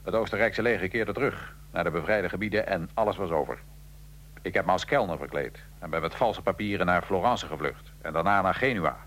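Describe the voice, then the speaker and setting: A man acting in a radio drama as a defiant, wry nineteenth-century Italian revolutionary prisoner, telling his story gravely and with irony. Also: low voice, a little fast, fairly bright tone, slight background noise. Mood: grave, wry recounting.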